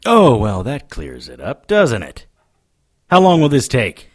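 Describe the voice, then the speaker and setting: An actor playing a gruff, sardonic occult investigator in a noir audio drama, wry and grumbling. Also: male voice, mid-range voice, wildly swooping pitch, a little slow. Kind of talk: audio drama acting with noir first-person narration.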